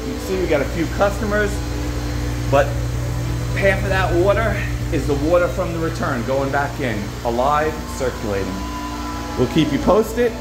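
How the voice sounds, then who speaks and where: A man's voice: fairly high pitch, animated, moderate pace, music in the background, rough recording. Man touring his laundromat's water room. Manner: informal, enthusiastic, rambling explanations. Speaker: laundromat owner giving a tour. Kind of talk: casual walkthrough tour. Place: indoor water treatment room with pumps and tanks. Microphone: handheld phone camera.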